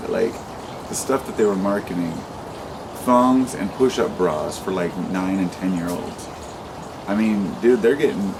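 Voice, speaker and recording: Low-voiced man ranting in a vlog. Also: background noise. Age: 30s. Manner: rambling and impassioned, with profanity and restarts. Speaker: vlogger. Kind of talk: unscripted monologue to camera.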